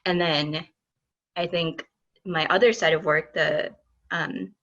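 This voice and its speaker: A woman's voice: sits low, fairly even intonation, takes it slowly, fairly bright tone. A woman speaking thoughtfully and a little hesitantly as an artist on a discussion panel. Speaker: artist and exhibit lead on a panel. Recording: dull, muffled audio.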